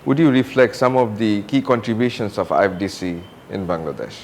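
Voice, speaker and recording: A man's voice: lowish voice, brisk, slight background noise. A man hosting an interview, introducing his guest in a formal, polished, prepared way. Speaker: interview host.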